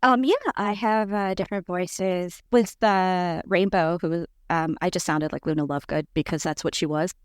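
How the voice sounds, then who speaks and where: A woman in her forties talks in a lively, squeaky voice that sits a little low at rest. She jumps way up in pitch when acting out her own thoughts. The sound is close and dry. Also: normal speed, hardly any pauses, clean tone, dry recording. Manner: animated, jumps high in pitch when quoting herself. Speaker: podcast guest. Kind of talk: casual chat.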